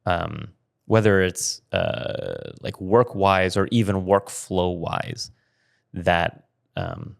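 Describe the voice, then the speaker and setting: Low-voiced guy hosting, gravelly, right up on the mic. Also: sing-song, slow. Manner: close to the mic, brief encouraging replies. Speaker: podcast host. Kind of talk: casual interview chat. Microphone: close studio mic.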